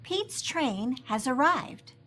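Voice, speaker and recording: A woman reading a children's storybook aloud and asking young listeners questions, in an expressive storytelling manner. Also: high voice, slight background noise. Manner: slow, expressive delivery aimed at young children. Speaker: children's storybook reader and host. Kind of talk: scripted read-aloud storytelling with quiz questions for kids.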